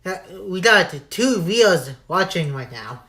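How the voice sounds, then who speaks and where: Slow-talking young man hosting a stream. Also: high-pitched, sing-song, pushed and bright. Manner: short replies, unhurried. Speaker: live stream host. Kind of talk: casual chat on a live stream. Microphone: voice call.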